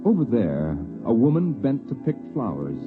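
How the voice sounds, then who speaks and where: A man delivers grave, theatrical narration for a vintage radio drama. His medium-pitched voice rises and falls widely over deliberate phrases. The old recording is muffled, with music clearly underneath. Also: huge pitch swings.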